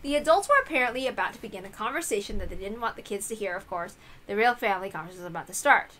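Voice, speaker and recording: A woman reading a visual novel aloud as expressive story narration. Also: mid-range voice, racing, a little hiss or hum.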